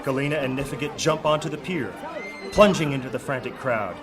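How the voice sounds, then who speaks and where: A man narrating a high fantasy audio drama with dramatic storytelling delivery. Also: fairly quick, music in the background, crowd noise, lightly acted. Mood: dramatic, grave. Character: male narrator of dark high fantasy adventure.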